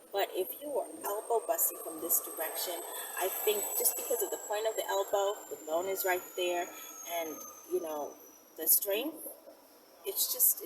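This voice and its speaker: A woman host chatting casually to viewers in an emphatic, conversational way, often asking them rhetorical questions. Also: a little high. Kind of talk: casual chat to camera. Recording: distant mic, clearly noisy background.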